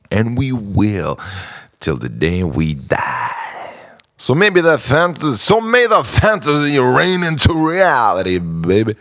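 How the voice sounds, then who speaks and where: A man with a low voice recites a confessional spoken-word piece. His delivery is slow and theatrical, swinging widely in pitch between phrases, with deliberate pauses and repetition. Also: vocal fry, no room sound. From Europe. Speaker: writer-performer reciting his own monologue.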